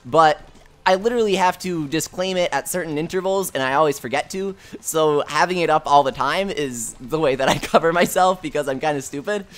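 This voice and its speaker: A man's voice: fairly high pitch, normal speed, fairly bright tone. A young man streaming live, reading chat messages aloud in an upbeat way, talking close to his mic. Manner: upbeat, reads chat aloud with frequent laughs. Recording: faint game music behind. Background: game music.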